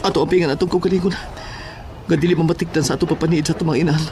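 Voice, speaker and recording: A man's voice: a little high, fairly even intonation, very fast, a crowd in the background, background noise, close to an everyday voice. A man playing an earnest, worried young son in a horror audio drama.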